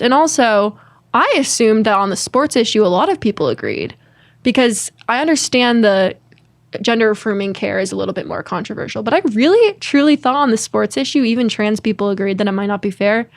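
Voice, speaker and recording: A young woman in her twenties, from Connecticut, talks through her answers in an earnest, upbeat way and often stops to restart a thought. Her voice sits at an ordinary middle pitch. The recording is close and dry, with no room sound. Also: very animated, fairly quick, no echo. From Connecticut, United States. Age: 20s. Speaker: guest, former college swimmer and advocate. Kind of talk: conversational interview answers.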